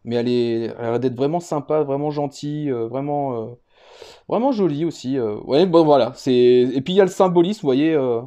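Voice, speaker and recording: A man with a relaxed, mellow voice at an average pitch presents like a casual home vlogger. He talks off the cuff, hesitating and circling back over his words as he rambles along. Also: a little fast, muffled recording. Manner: hesitant, rambling, often repeating himself. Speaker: vlogger presenting a card collection. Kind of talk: casual unscripted show-and-tell.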